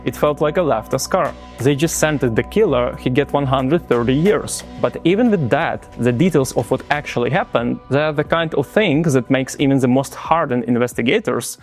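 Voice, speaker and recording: A man's voice: medium pitch, moderate pace, hardly any pauses, soft background music. A man from Ukraine with a Ukrainian accent, hosting and narrating a true crime story in a chatty way that speaks straight to viewers. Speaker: true crime channel host.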